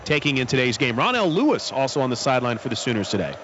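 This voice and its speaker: A man's voice: mid-range voice, sing-song, racing. An American man reporting on a live football radio broadcast, adding observations and sponsor reads. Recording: dull radio broadcast, loud stadium noise behind.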